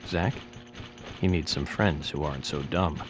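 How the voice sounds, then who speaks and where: A man's voice: very deep voice, measured pace. An actor playing a composed adult male FBI agent who muses aloud to an unseen partner in a video game cutscene. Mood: musing. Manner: calm and composed, musing aloud to someone unseen. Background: game music.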